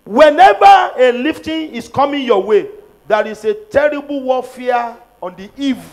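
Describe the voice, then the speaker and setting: A middle-aged man with a Nigerian accent leading prophetic prayers, shouting declarations into a handheld microphone in a large church. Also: very high voice, expressive, a little slow. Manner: fervent, shouting declarations, repeating lines for the crowd. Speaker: pastor. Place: large church auditorium, on a stage at a pulpit.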